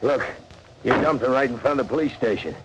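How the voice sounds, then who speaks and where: An actor playing an outlaw's gruff sidekick and getaway driver in a 1940s radio crime drama. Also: male voice, mid-range voice, lively intonation, fast, natural voice. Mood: alarmed, urgent. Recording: very noisy old radio recording.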